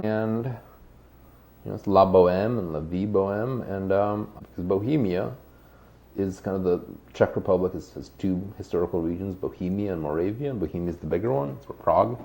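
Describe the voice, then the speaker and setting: A man's voice: sits low, lively intonation, moderate pace, gravelly, mellow. A man, a guest author, explaining history in a thoughtful conversational way with frequent restarts. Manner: thoughtful, many restarts and hedges.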